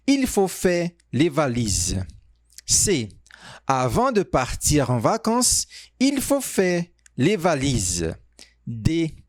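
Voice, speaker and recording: Slow, lively-voiced French teacher. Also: male voice, on the high side, dry recording, studio quality. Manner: reads sentences slowly, stretched out, pauses on options. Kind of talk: slow, clearly spoken quiz reading.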